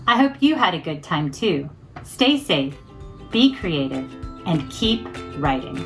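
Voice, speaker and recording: A woman's voice: fairly low pitch, sing-song, slow pace, soft background music. A woman teacher presenting an educational lesson for children.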